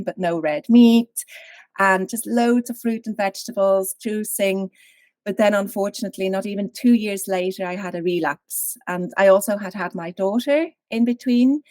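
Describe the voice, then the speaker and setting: A woman's voice: medium pitch, no echo. Woman from Switzerland telling her story. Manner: candid, animated storytelling with frequent laughs. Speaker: guest. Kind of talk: interview, personal story.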